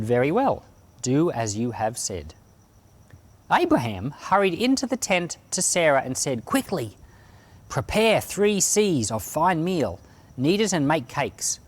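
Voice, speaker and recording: A man reading scripture as narration, with expressive delivery and deliberate phrasing. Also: medium pitch. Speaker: Bible podcast reader and pastor.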